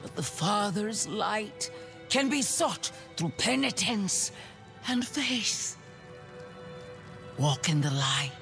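A woman's voice: mid-range voice, lively intonation, slow, creaky, pauses often, fairly bright tone, faint music behind, exaggerated voice. A woman playing a stern, pious Reverend Mother in a video game, preaching in a solemn, commanding manner. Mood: stern, devout. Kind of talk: solemn sermon in game drama.

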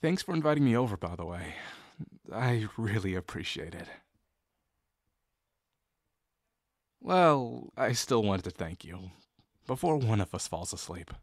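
A man's voice: lowish voice, very animated, no room sound, clean studio sound. A man playing a shy, sincere young guy in love with his best friend, speaking hesitantly and intimately to the listener in an audio roleplay. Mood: amused, relaxed. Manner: hesitant, halting, full of pauses and sighs. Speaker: voice actor.

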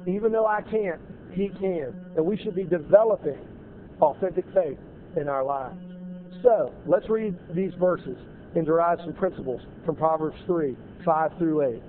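A man in his thirties delivers a sermon, his voice pitched high. He presses his points hard and repeats key phrases. The lapel-mic audio sounds thin and phone-like, with a faint hiss behind it. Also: measured pace. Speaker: pastor. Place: large church sanctuary with choir behind. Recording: tinny narrow-band audio, faint noise.

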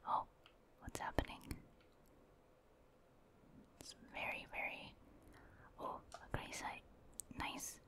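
A woman whispering casual, gentle ASMR commentary as she thinks aloud while playing a video game. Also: slow pace, pauses often. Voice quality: breathy, voiceless whisper. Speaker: gaming ASMR streamer.

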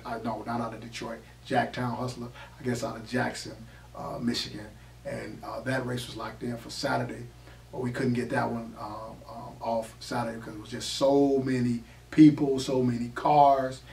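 A hoarse man in his forties from the Chicago area, a drag racer answering interview questions with many fillers. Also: medium pitch. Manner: halting, many fillers, flat delivery. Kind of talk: casual interview. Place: small studio office. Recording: echoey room, mic far off, faint noise.

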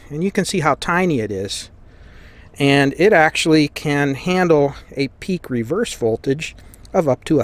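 An American man giving a casual, conversational hands-on explanation as host of a repair channel, recorded indoors at a workbench. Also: medium pitch.

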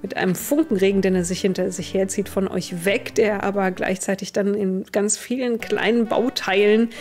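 Woman in her 30s running a tabletop game, medium pitch, bit of music in the back. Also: steady tone, moderate pace, darkish tone. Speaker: game master.

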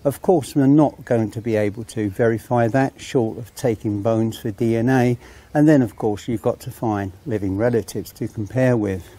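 A middle-aged Englishman presenting a documentary to camera in an outdoor country churchyard, telling the story in a measured, solemn way. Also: mid-range voice, warm tone, breathy edge.

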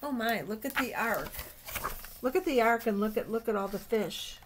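An older woman reading a picture book aloud to young children, exclaiming and pointing things out with drawn-out words. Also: a little high, expressive. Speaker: storytime reader for children. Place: small office, indoors. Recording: noisy webcam recording with clicks.

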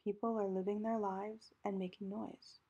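A woman narrates a guided meditation at a gentle, measured pace, leaving space between phrases. Her voice is mellow and mid-pitched, heavily breathy and soft, often thinning to a near whisper as phrases end. The delivery stays calm and instructional. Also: lo-fi sound.

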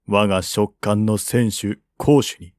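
A man voicing a calm, grave strategist of a fallen kingdom in formal, measured audiobook dialogue. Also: fairly low pitch, dry recording, clean studio sound. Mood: solemn, resentful.

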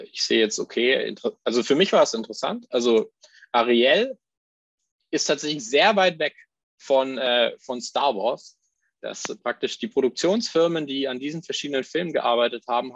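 Man, software demo presenter, gravelly voice, step-by-step explaining, dull recording. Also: mid-range voice, no echo. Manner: explains step by step while clicking, fillers and restarts. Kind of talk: live software demo talk.

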